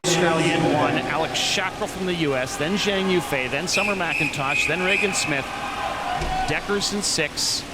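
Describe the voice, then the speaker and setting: A man calls a swimming race live in a high-pitched voice. He works as the play-by-play announcer, and heavy arena noise surrounds him throughout. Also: normal speed. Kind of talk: live sports commentary. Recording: very noisy broadcast over arena din.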